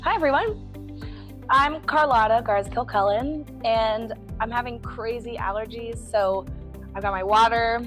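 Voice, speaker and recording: A woman, an estate planning attorney giving a friendly educational talk, a little hoarse from allergies. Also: on the high side, a little slow, a bit bright, soft background music. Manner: friendly, self-deprecating, chatty with fillers. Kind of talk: educational presentation to a group. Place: meeting room.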